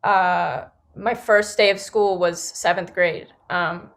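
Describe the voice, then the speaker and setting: A woman's voice: lowish voice, fairly even intonation, slow pace, a bit bright. A young woman from Singapore and Los Angeles telling a personal story as a podcast panel guest, in casual youthful phrasing peppered with "like" and "you know".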